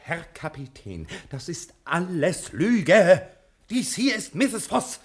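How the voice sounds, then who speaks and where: Vain, excitable detective: very high, swooping pitch, theatrical bluster, comedy-adventure drama. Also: male voice, slow, exaggerated voice. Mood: indignant pleading. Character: vain, lovestruck, excitable male private detective. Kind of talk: German screen comedy-adventure dialogue.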